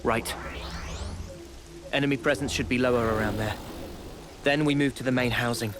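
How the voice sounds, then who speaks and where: Guy playing a serious young team leader, measured, mid pitch, loud game music behind. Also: steady tone, lightly acted. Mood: focused. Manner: measured, earnest, instructive. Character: calm, serious young male squad leader planning a raid. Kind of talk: video game cutscene acting.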